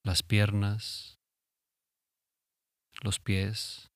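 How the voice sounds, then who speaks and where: A man leading a guided relaxation meditation in a calm, soothing, instructive way with long pauses. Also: lowish voice, no echo, studio quality. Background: music.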